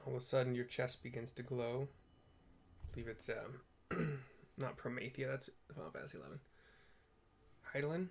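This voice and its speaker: A man giving casual, halting descriptive commentary as he plays through a game. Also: mid-range voice, creaky. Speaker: game streamer narrating a playthrough.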